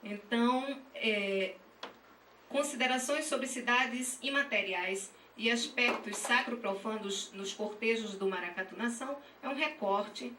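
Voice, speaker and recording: A woman with a northeastern Brazilian accent giving an academic conference presentation, halting and often checking in with tag questions. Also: fairly high pitch, sharp tone. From Pernambuco, Brazil. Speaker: graduate dance student and teacher presenting research. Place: echoey session room at a university dance school. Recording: roomy, distant mic, faint noise.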